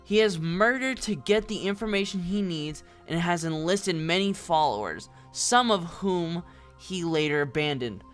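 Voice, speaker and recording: Someone acting a formal lawyer making accusations in an audio drama, middle pitch, bit of music under it. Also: animated, unhurried, a bit bright. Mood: formal, accusing. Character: formal, theatrical prosecuting lawyer in a fantasy court. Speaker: prosecutor. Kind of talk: scripted audio drama courtroom speech.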